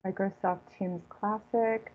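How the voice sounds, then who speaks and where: A woman lectures informally over a video call. Her pitch is average and she proceeds haltingly, reworking phrases as she speaks. The audio is dull and lo-fi. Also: fairly even intonation. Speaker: guest lecturer and author. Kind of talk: informal academic online talk. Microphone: computer video call.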